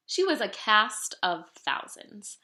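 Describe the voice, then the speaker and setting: A woman reading a children's picture book aloud in an expressive, dramatic storytelling manner. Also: mid-range voice, slow. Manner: animated, dramatic storytelling delivery. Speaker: storybook reader. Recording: roomy, echoing room sound.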